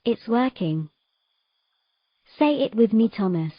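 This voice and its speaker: A woman's voice: on the high side, lively intonation, slow, no echo, muffled recording. A woman playing a determined, defiant young mermaid with an insistent, urgent delivery in a dramatic audio story. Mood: eager. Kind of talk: dramatic audio fiction acting.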